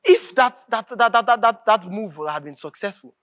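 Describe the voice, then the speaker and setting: An impassioned Nigerian revolutionary idealist played by a stage actor, preachy and impatient. The delivery is declamatory and emphatic, with pitch that swings widely and key phrases hammered home. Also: animated, normal speed, a bit bright, natural voice. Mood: indignant, fervent. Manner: emphatic, oratorical, repeats phrases for force. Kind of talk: live stage drama acting, declamatory dialogue.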